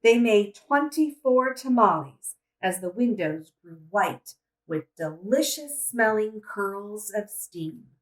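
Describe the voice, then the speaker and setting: Echoey, slow-reading woman storyteller. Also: mid-range voice, expressive. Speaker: storybook reader. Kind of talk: children's read-aloud narration. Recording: roomy echo, distant microphone.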